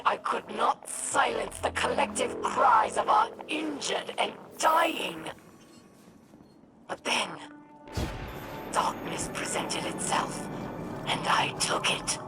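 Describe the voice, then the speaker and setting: A woman acting a proud, vengeful alien warlord in a video game, cold and defiant, with a theatrical, measured delivery. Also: on the high side. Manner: theatrical, measured, menacing, dramatic pauses. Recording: loud music under voice.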